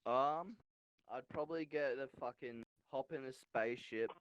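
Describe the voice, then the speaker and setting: A man's voice: medium pitch, normal speed, clear, resonant tone, no room sound, dull audio. A young man on a podcast chatting casually in an understated, hesitant way. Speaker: podcast co-host. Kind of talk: casual chat.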